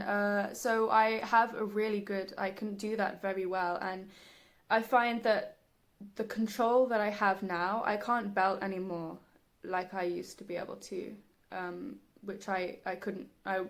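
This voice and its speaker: Slow-paced, soft breathy young woman. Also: mid-range voice. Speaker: singer, video creator. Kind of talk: reflective personal vlog monologue.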